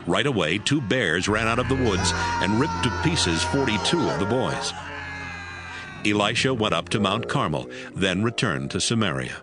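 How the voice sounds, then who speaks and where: A man narrates a dramatized scripture audiobook. His low voice moves with plenty of expression. Music is clearly present beneath the reading. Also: expressive, normal speed.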